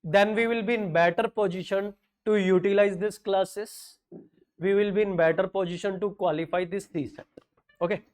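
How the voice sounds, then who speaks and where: A man's voice: high-pitched, fairly even intonation, a little mellow. A middle-aged man from North India, whose first language is Hindi, teaching a classroom lecture with a North Indian accent and joking asides. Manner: mixes English with quick Hindi asides, joking.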